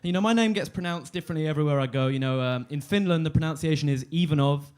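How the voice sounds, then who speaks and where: Young comedian dude on a club stage mic, dry delivery, slightly higher voice, lots of ums. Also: male voice, clean tone. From London. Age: 20s. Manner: deadpan, halting with fillers and restarts. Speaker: stand-up comedian. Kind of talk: stand-up set.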